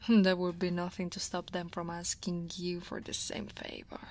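A woman from Italy voicing a guarded but teasing female dragon office senior in a soft, breathy ASMR roleplay. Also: on the low side, completely flat delivery, moderate pace, natural voice. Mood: teasing, flustered. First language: Italian. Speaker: voice actor.

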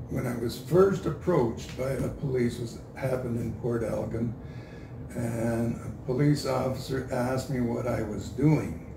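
Older man, Canadian accent, creaky voice, halting delivery, distant mic, noisy room. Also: mid-range voice, roomy sound. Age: older, 60s or above. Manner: halting, deliberate, repeats himself. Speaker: street preacher being interviewed. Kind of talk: interview answers. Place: indoor room with a couch.

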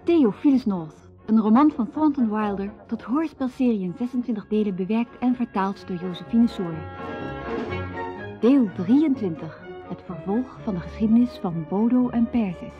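A woman announcer reads out radio serial credits at a medium pitch. Music plays clearly behind her and the sound is slightly dull. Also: normal speed.

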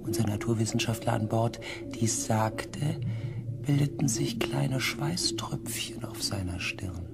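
A man narrating a science fiction radio drama as a reflective crew member, in a hushed, deliberate way. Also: medium pitch, animated, creaky, whispered. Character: reflective crew member recounting a space expedition. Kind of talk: scripted first-person radio drama narration.

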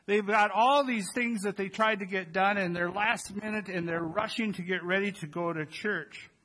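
High, bright-voiced older male pastor preaching. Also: normal speed, low-quality recording. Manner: conversational, addresses congregation with rhetorical questions. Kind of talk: Christmas Eve sermon. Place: church sanctuary, at the pulpit. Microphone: gooseneck pulpit microphones.

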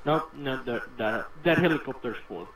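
A man's voice: medium pitch, expressive, normal speed. A young man chatting playfully while gaming, boastful and goofy. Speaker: gameplay co-commentator. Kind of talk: casual gameplay chat. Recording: distant roomy mic with loud game noise.

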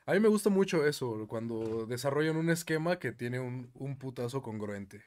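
A man's voice: mid-range voice, lively intonation, normal speed, darkish tone. A man casually breaking down rap lines as co-host. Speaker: co-host analyst. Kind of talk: casual chat. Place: small home studio.

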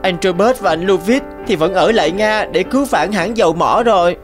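A man's voice: sits high, moderate pace, close to an everyday voice. A man acting an eager young son in a cartoon drama. The voice is light and youthful, with faint music behind it. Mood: eager, concerned.

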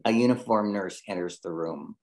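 Casting: male narrator with a very deep register for screenplay directions. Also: moderate pace. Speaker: reader of stage directions.

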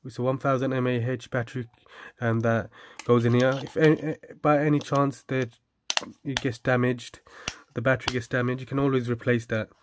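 A man casually demonstrating and reviewing a product, speaking haltingly as he lists features and repeats himself. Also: mid-range voice, steady tone, slight background noise.

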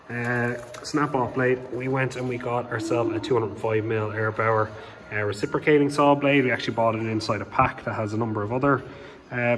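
Irish man explaining in an echoey room. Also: medium pitch. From Ireland. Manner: relaxed, hesitant with frequent ums. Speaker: home renovation vlogger. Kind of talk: casual how-to explanation. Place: large empty room under renovation, indoors. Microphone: phone camera held in hand. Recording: reverberant bare room with faint ambience.

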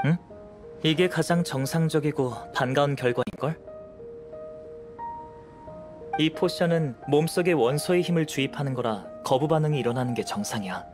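An actor playing a calm, scholarly young alchemist in an anime game, composed, measured and gently detached. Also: male voice, fairly high pitch, over music, lightly acted. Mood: calm, reassuring.

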